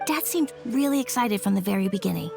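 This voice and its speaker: A woman playing an earnest, gentle young daughter in a video game, speaking in a childlike, hesitant and sincere way. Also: mid-range voice, animated, quick pace, gravelly, over music. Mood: nostalgic, earnest.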